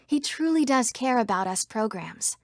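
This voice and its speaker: Here is an earnest citizen program from a digital world in a video game. The voice is fairly high and very dry. Each line is crisp and dramatic. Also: female voice, animated, moderate pace, dry recording. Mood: wary.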